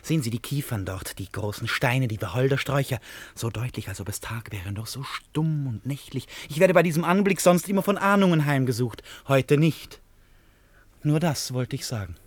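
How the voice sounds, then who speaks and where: An actor playing an eccentric, impulsive, flattering suitor in a German radio play, talking in an effusive, rambling way. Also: male voice, medium pitch, normal speed, no echo, natural voice. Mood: musing.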